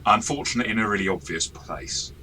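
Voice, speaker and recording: A man with a very low voice talks casually as game master, reviewing an adventure he ran. His tone is bright and forceful, and he talks in a chatty, self-deprecating way, restarting his sentences often. A faint hiss sits behind him. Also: quick pace, rough recording.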